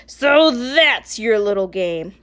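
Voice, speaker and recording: A woman voicing a stern, bossy, witch-like substitute teacher in a storybook, slow and commanding, with a scratchy voice. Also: on the high side, lively intonation, a bit bright. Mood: menacing, bossy.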